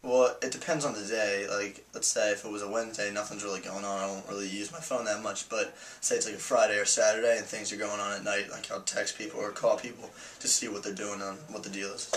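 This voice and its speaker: An eighteen-year-old man, a college freshman, answering interview questions in a relaxed, hesitant way. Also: on the low side, fast, hardly any pauses, a little hiss or hum, low-quality recording. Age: teens.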